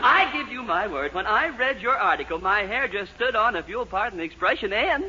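An American actor playing a fussy, excitable shoe-store owner in a 1940s radio comedy, stammering and repeating himself. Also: male voice, sits high, brisk. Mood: gushing. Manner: fluttery, stammering, repeats phrases. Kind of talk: 1940s radio sitcom acting. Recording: muffled, noisy 1940s radio recording.

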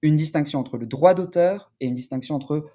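A man speaking as an academic researcher in an interview, explaining in a deliberate, halting, scholarly way. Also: a little high, dark tone.

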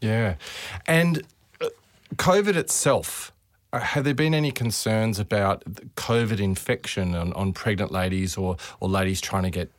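A man with a fairly low voice interviews a specialist as a fellow doctor. He asks direct questions and drops in short acknowledgements between the answers. The sound is dry and close. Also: normal speed, dry recording. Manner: brief prompts and short acknowledgements.

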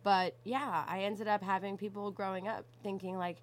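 A young woman from Canada in casual conversation, telling stories in an animated way and often saying "like". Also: mid-range voice, normal speed. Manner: animated storytelling, frequent "like".

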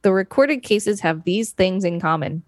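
A woman's voice: medium pitch, expressive, normal speed, no echo, clean studio sound. A woman co-hosting a podcast, chatting casually and reading an article aloud. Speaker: podcast co-host.

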